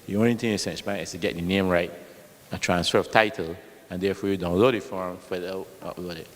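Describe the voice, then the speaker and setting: A loud-voiced man answering a question at a meeting, patiently explaining with worked examples. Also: low voice, steady tone, quick pace. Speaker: official explaining bonds. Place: meeting hall. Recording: long reverb in a hall.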